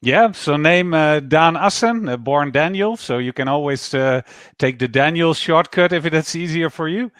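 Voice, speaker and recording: A middle-aged man with a Dutch accent, speaking as an interview guest over a video call, measured with frequent pauses. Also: fairly high pitch, a bit bright, no echo, clean studio sound. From Netherlands. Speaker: guest, startup founder. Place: office.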